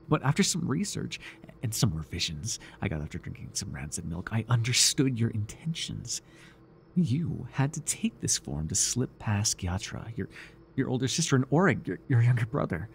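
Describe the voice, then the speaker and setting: Man performing a fawning male cult fanatic, low gravelly voice, theatrical halting delivery, ASMR roleplay, faint background noise. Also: sing-song. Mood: reverent, eager. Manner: theatrical, breathy sighs, nervous chuckles, halting. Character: devoted, obsessive male cult follower, giddy and fawning. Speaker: voice actor.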